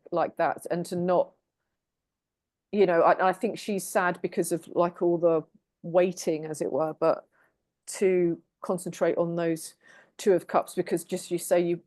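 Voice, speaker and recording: A woman's voice: fairly low pitch, darkish tone, dry recording. A woman on a tarot panel over a video call, chatty and reassuring, often repeating phrases as she talks. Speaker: tarot reader co-host. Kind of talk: casual spiritual panel chat.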